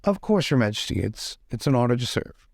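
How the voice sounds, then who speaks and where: A man's voice: mid-range voice, sing-song, brisk, creaky, no echo, studio quality. A man playing a deferential adventurer lord in improvised tabletop roleplay, polite and hesitant as he talks. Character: deferential, courteous adventurer lord serving his queen. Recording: faint music behind.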